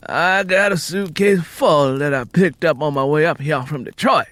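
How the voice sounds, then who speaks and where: Man voicing high, wheedling, genial drifter musician. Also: a bit bright, dry recording, natural voice. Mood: wheedling, friendly. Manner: folksy, polite, drawn-out storytelling. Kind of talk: audiobook character dialogue.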